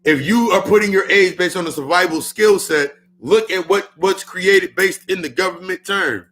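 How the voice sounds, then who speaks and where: A man's voice: sits high, moderate pace, fairly bright tone. A man in his thirties giving a casual spiritual talk, swearing often and repeating lines for emphasis. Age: 30s. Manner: profane, repetitive, emphatic. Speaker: spiritual speaker.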